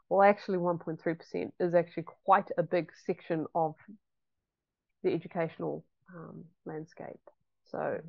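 Chatty New woman, low and dry. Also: dry recording, dull audio. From New Zealand. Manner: chatty and reflective, with frequent ums. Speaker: guest, homeschooling mother. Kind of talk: casual interview chat.

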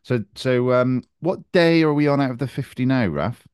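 Smooth-voiced male podcast host. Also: medium pitch, darkish tone, no echo, studio quality. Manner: brisk, encouraging, moves the talk along. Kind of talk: casual podcast chat.

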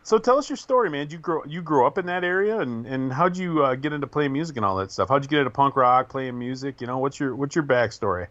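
Fast-talking teasing male podcast host. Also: medium pitch, lively intonation, fairly bright tone, highs cut off. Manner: joking banter, teasing the guest. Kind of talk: casual podcast interview.

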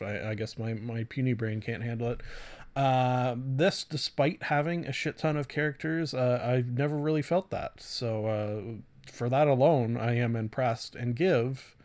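Guy podcast host just rambling about his reviews, mid pitch, slangy, kinda self-deprecating. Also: a little mellow. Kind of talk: casual solo review podcast chat.

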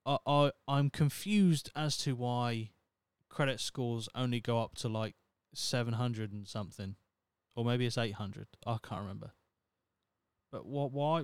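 A man's voice: medium pitch, clear, resonant tone, dry recording. A thirty-year-old man, rambling on his solo podcast in a wry, self-mocking way with plenty of swearing. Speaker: podcast host. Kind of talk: casual solo podcast monologue.

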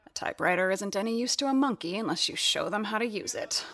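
A woman's voice: medium pitch, animated, fast, a bit bright, close to an everyday voice. A woman playing a wry, seasoned, no-nonsense radio sound-effects worker in an audio drama, talking in conversational asides. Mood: stern. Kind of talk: 1930s-set audio drama narration and dialogue.

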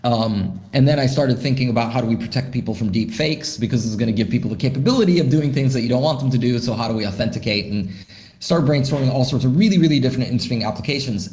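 A man's voice: mid-range voice, darkish tone. A man, a startup founder, answering interview questions in a thoughtful way, with many fillers and restarts. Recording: roomy echo.

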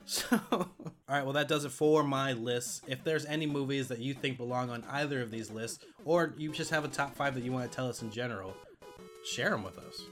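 A man's voice: mid-range voice, fairly quick, a bit bright, soft background music. A man co-hosting a pop culture podcast in an informal, agreeable, chatty way. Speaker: podcast co-host.

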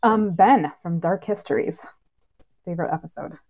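A woman with a very low, creaky voice moderates a panel in a casual way. The audio is dull, with some clicks. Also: moderate pace, dark tone, a little hiss or hum. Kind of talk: casual live panel hosting.

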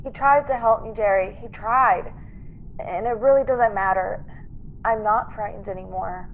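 A woman playing a brave young stowaway in a radio play, speaking tenderly and haltingly with earnest, tearful melodrama. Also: medium pitch, narrow, tinny audio, a little hiss or hum, natural voice. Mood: tender, confessing. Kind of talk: classic radio drama acting.

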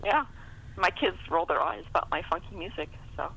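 A woman's voice: lowish voice, expressive, brisk, creaky, fairly bright tone, slightly rough, low-quality recording. A middle-aged American woman chatting casually as an author guest, talkative and self-deprecating with little laughs in her speech. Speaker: guest author being interviewed. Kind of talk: casual interview chat.

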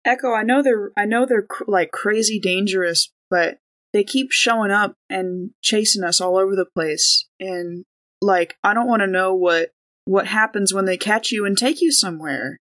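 A woman's voice: mid-range voice, normal speed, a bit bright. A woman talking casually as a player in a tabletop role-playing game.

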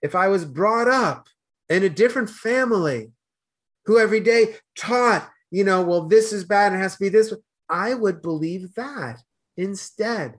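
Emphatic Boston man, fairly high voice. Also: moderate pace. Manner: earnest, emphatic, gets loud when animated. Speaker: guest. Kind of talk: casual interview chat.

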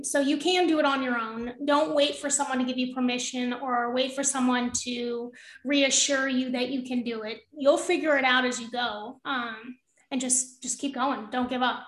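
A young woman with a high-pitched voice, a podcast guest talking casually and encouragingly, laughing often. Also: steady tone, normal speed, a bit bright, reverberant, low-quality recording. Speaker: podcast guest, real estate investor. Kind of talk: casual interview conversation.

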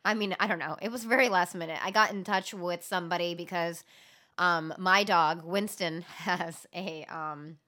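A woman's voice: fairly low pitch, steady tone, moderate pace, bright, forceful tone. A woman co-hosting a podcast in a casual chat, talking loosely with many ums and fillers; her home language is Gujarati. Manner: chatty, lots of ums and filler. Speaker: podcast co-host.

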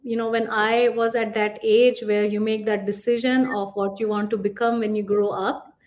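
A woman's voice: mid-range voice, little pitch movement, moderate pace, a little mellow. A middle-aged woman from India with an Indian accent, a career coach answering podcast questions in a thoughtful way with many fillers.

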